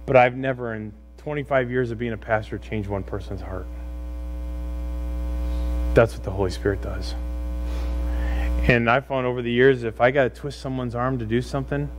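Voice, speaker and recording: A man's voice: medium pitch, poor audio. Pastor, male, middle-aged. Exhorting yet conversational sermon delivery with rhetorical questions, slight mains hum on the recording. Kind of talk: sermon to a congregation. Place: church room, speaking from a stage. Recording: steady mains hum and noise.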